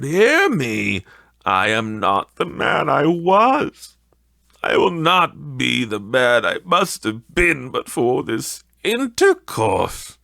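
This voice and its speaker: Storybook old miser, voiced by a male reader: slow, frightened, repentant, pleading with fervor, captured very dry. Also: medium pitch, creaky, sharp tone, dry recording, pitched above the performer's own voice, exaggerated voice. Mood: desperate pleading. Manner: pleading, fervent, drawn-out delivery. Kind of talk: dramatic audiobook character acting.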